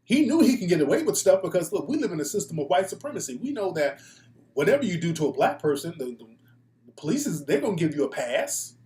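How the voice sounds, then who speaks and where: Male American talk host, slightly high voice, blunt and profane, off-the-cuff monologue, roomy echo. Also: big pitch swings, fast, warm tone. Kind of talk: casual opinionated live talk show monologue. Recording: echoey room.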